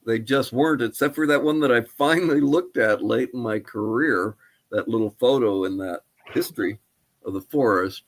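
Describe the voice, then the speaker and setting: An older man answering interview questions as a historian, speaking in a halting, thoughtful, tentative way. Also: mid-range voice. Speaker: archaeologist and historian guest. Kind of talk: interview answers about research.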